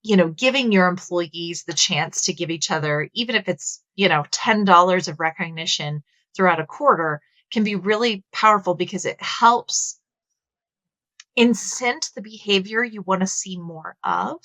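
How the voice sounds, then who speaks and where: A woman's voice: lowish voice, moderate pace, a bit bright, dry recording, rough recording. A woman in her thirties with a Texas accent, a podcast guest explaining her views thoughtfully with some filler words. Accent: Texan. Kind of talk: conversational interview.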